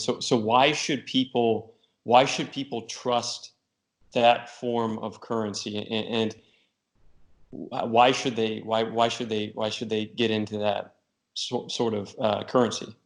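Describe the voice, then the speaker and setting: A man's voice: lowish voice, creaky. A man hosting an interview who asks his questions hesitantly, stumbling and repeating words. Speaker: interviewer, host.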